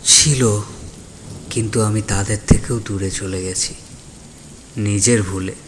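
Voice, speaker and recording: A man's voice: on the low side, fairly even intonation, takes it slowly, a little hiss or hum. A man playing a lonely, regretful young man in an audio story, speaking in a subdued, heavy-hearted way. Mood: grieving, guilty. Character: regretful lonely young man grieving his father, withdrawn, sorrowful. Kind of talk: dramatized audio story acting. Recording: voice over rain and thunder ambience.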